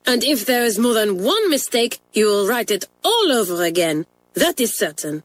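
A woman reading an audiobook voices a quick-tempered schoolmistress, imperious and shouting. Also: on the high side, lively intonation, pitched above the performer's own voice. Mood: raging. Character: fiery French schoolmistress, middle-aged, quick-tempered. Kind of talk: audiobook character voice.

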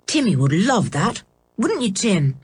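Woman doing an eager, brisk young girl. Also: mid-range voice, sing-song, a little mellow, breathy edge, exaggerated voice.

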